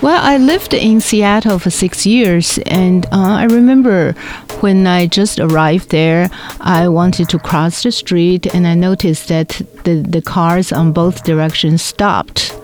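A speaker from Taiwan with a middle-pitched voice gives a casual interview. The tone is earnest and careful. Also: steady tone, takes it slowly.

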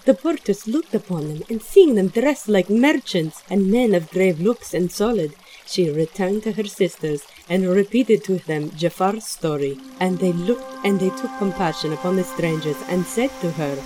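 A woman's voice: fairly low pitch, animated, moderate pace, hardly any pauses, mellow, a little hiss or hum, low-quality recording. A woman reading scripted storytelling narration of an old tale. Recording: music behind the voice.

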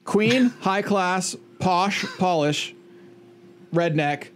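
A man co-hosting a podcast, teasing his guest in animated chat. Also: high-pitched, drawn-out words, slight background noise. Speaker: podcast co-host. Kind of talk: casual chat.

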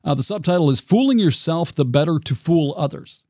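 A man with a raspy voice hosting his own podcast, talking in a reflective, rambling way with frequent restarts. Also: on the high side, animated, brisk, dark tone, dry recording, studio quality. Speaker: podcast host. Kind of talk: solo podcast monologue.

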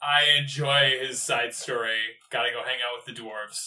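A man's voice: medium pitch, steady tone, moderate pace, sharp tone, distant mic. A man chatting casually and wryly as a podcast panelist, joking along. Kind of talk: casual comedic chat.

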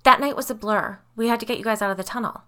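A protective female spirit in a spooky audio story, put on by a woman narrating. She is secretive and stern, intoning her warnings with an insistent, bright and tense edge. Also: a little high, fairly quick, voice pushed up, close to an everyday voice.

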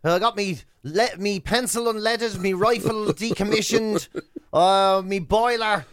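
High-pitched Irish man telling rambling comic anecdotes. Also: unhurried, a bit bright, no echo, studio quality. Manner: rambling, colloquial, self-deprecating. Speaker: radio show host. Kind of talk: casual comic anecdote and banter. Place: radio studio. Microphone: close studio microphone.

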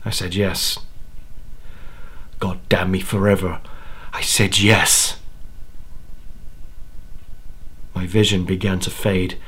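Dying old grandfather acted by a man: low, very slow, halting, haunted confession for a horror story. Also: level tone, fairly bright tone, breathy edge, exaggerated voice. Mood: bitter despair. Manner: halting, repeats words, dramatic. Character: anguished dying old grandfather confessing his terrible bargain. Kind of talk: dramatic first-person horror monologue.

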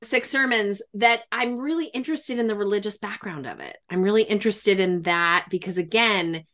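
A woman's voice: medium pitch, moderate pace, fairly bright tone. A woman hosting an interview, talking in a conversational, explanatory way with engaged enthusiasm. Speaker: interviewer, host trained in trauma and grief work.

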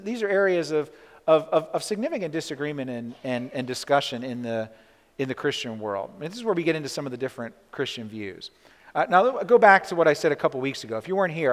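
A man's voice: mid-range voice, big-room sound. A man preaching a sermon as pastor, talking conversationally with many "right?" asides and restarts.

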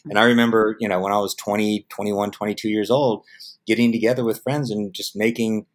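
A middle-aged man from the United States, a film producer speaking in a casual interview, telling stories and acting out the voices of people he quotes. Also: fairly quick. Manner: animated storyteller, acts out quoted voices with jumps in pitch; repeats words when restarting.

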